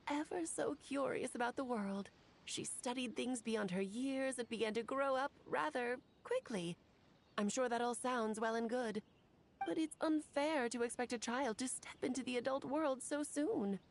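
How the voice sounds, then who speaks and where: A woman's voice: on the high side, normal speed, slight background noise, lightly acted. A woman voicing a playful, theatrical witch mentor who talks in a grand, teasing and affectionate way, in video game dialogue. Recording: faint game music behind.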